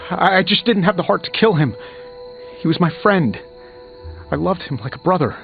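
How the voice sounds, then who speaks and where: An actor playing a proud, guilt-ridden genius superhero in a video game, sarcastic, emphatic and hard on himself. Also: male voice, high voice, lively intonation, moderate pace, creaky. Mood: anguished. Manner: sarcastic, self-reproaching, emphatic. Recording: muffled game audio under music.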